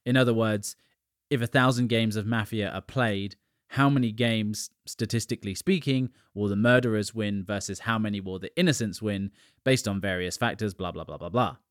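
A man's voice: lowish voice, normal speed, no echo, studio quality. A man from the UK hosting a podcast, talking casually with chatty asides and little jokes. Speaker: podcast host. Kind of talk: casual solo podcast monologue.

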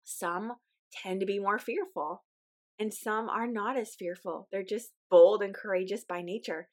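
Brief: woman host, voice weak from illness, earnest and encouraging, middle pitch. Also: a little slow, darkish tone. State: weak, recovering voice. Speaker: podcast host. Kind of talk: casual podcast monologue.

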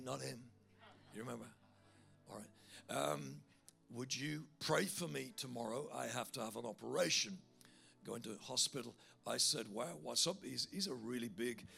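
A preacher man with a big, gravelly voice carries his sermon with a bright, forceful tone. He tells his story with energy, then urges his listeners on with growing fervour. Soft music plays beneath him. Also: medium pitch. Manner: animated storyteller, building to loud fervent altar call.

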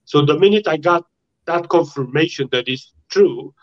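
A man from Romania speaks with a Romanian accent, his voice sitting a touch higher than most men's. He talks in a halting, searching way, often repeating words before a thought lands. The sound is a little dull and lo-fi. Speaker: guest. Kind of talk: casual interview conversation.

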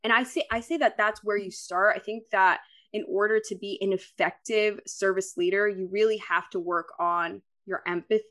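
Energetic woman podcast guest. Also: mid-range voice, normal speed. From Dominican Republic. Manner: energetic, upbeat, laughs often. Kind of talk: casual podcast interview.

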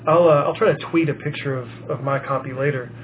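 A man co-hosting a podcast, rambling casually with many fillers and halting restarts. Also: medium pitch, fairly even intonation. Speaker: podcast co-host. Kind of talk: casual chat. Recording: lo-fi phone-like sound with faint hiss.

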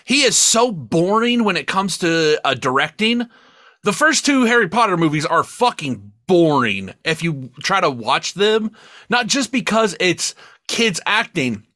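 A man's voice: high voice, sing-song, measured pace, sharp tone, no echo, clean studio sound. A man co-hosting a casual, opinionated film discussion, speaking emphatically and swearing freely. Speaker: podcast co-host reviewing films.

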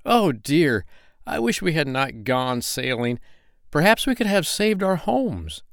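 Panicky little goblins squeal and fret in a high, anxious voice, as a man reading a bedtime story puts them on. Their lines jump about with lively alarm. The sound is close and dry. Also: moderate pace, no echo.

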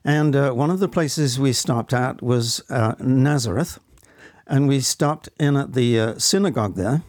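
An elderly man giving personal testimony as an interview guest, speaking in a halting, reflective and earnest way. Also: medium pitch, a little mellow, no echo, clean studio sound. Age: 70s or older. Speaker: interview guest, stage actor.

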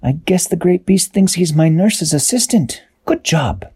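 A man voicing a kind, eager male medic in a made-up story, in a high voice. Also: lively intonation, unhurried, a little mellow, slightly rough, full character voice.

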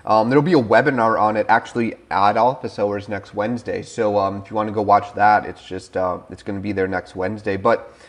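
Husky-voiced man chatting on a podcast. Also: on the low side, fairly even intonation. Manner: fast, filler-heavy, laughing easily. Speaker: co-host. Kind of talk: casual podcast chat. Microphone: remote call mic.

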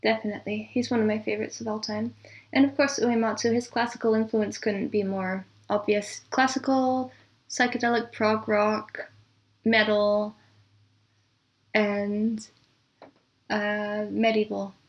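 A woman's voice: mid-range voice, little pitch movement, a little slow, dull audio. A woman speaking casually as an interview guest, a composer talking about creative work. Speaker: interview guest, composer and audio drama producer.

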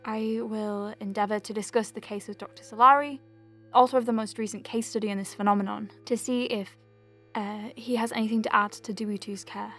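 A woman's voice: mid-range voice, steady tone, a little fast, fairly bright tone, soft background music, close to an everyday voice. An actress playing an awkward, earnest young woman doctor in a naturalistic audio drama, hesitant and prone to nervous stammering. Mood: formal, dictating. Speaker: lead actor in an audio drama podcast.

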